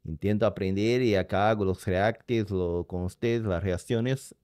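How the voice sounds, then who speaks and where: A man's voice: lowish voice, fairly even intonation, measured pace, darkish tone, smooth, no echo, studio quality. A man whose first language is Portuguese, with a Brazilian accent, chatting casually and hesitantly while reacting to a video and reading the chat. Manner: hesitant, searching for words, reads chat aloud. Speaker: reaction streamer.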